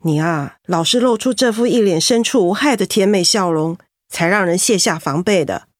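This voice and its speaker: An actress plays a canny, motherly female supervisor. She is brisk and probing with a fond scolding edge, medium in pitch, recorded very dry for radio drama. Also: dry recording, lightly acted. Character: shrewd, motherly female team leader, self-styled old fox.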